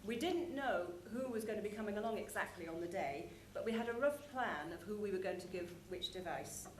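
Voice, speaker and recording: Female presenter, mid-pitched, conversational and explanatory, live in a reverberant room with background noise. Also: lively intonation, fairly quick. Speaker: conference presenter. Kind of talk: explanatory presentation about a show.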